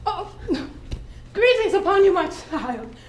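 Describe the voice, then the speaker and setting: A woman playing a serene, condescending new-age yoga instructor in a comedy sketch, with airy, pompous, drawn-out delivery. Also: very high-pitched, big pitch swings, a little hiss or hum, heavily acted. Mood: serene, patronizing.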